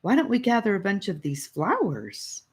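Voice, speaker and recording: A woman voicing a feisty, bossy but caring young girl in an audiobook, with an emphatic, expressive delivery. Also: medium pitch, moderate pace, husky, voice pushed up. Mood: bright, eager. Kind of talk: audiobook character voice.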